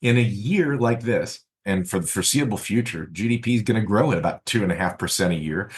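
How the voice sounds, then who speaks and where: Low-voiced man, analytical host. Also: a little fast. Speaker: podcast host. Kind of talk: analytical podcast talk.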